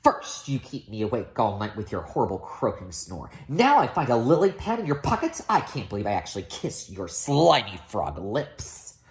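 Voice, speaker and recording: A woman's voice: rumbling low, wildly swooping pitch, normal speed, hardly any pauses, darkish tone, roomy sound, exaggerated voice. A woman voicing an exasperated, scolding princess in a fairy tale storybook. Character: fed-up, exasperated princess scolding her husband.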